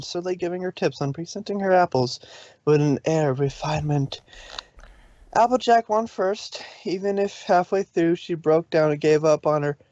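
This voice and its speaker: A man's voice: a little high, darkish tone, dry recording. Male story narrator, dry dull recording. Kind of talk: scripted fan fiction narration.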